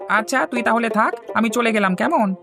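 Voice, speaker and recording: A man's voice: extremely high pitch, lively intonation, a little fast, vocal fry, heavily acted. A man voicing a concerned villager in a cartoon story, inquisitive and full of caution. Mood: concerned, warning. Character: concerned villager warning a boy of forest dangers. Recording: music behind the voice.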